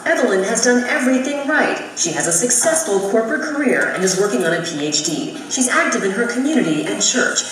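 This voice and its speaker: A woman's voice: fairly high pitch, expressive, brisk, hardly any pauses, a bit bright. An American woman reading scripted news narration as a reporter. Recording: echoey playback, distant, faint noise.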